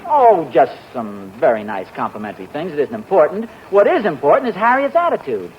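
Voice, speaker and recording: A vain, easily flustered husband, who talks in a high voice that swings up and down a lot. He is indignant and fishes for flattery. It is a dull, faintly hissy old radio broadcast. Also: fairly quick, some chatter behind. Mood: aggrieved. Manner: indignant, wheedling, self-flattering. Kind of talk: 1940s radio sitcom acting.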